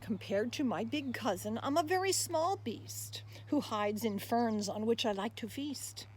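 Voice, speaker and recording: Woman as a high, animated little pygmy hippo. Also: slight background noise. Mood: coy, earnest. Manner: drawn-out, emphatic rhyming delivery. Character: small reclusive nocturnal pygmy hippo, shy, elusive. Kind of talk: rhyming picture-book read-aloud.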